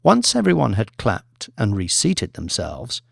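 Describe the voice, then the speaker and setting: Measured male audiobook narrator, dry sound. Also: lowish voice, wildly swooping pitch, vocal fry, breathy edge, no echo. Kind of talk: scripted dramatic fiction narration.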